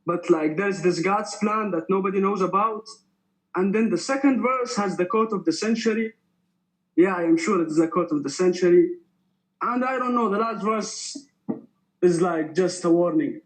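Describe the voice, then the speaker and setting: A man's voice: high-pitched, moderate pace, poor audio. A man in his twenties from Syria, a rapper talking casually over a video call, filling with "like" and "you know". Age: 20s. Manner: relaxed, fills with "like" and "you know". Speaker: contestant rapper. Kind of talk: casual chat.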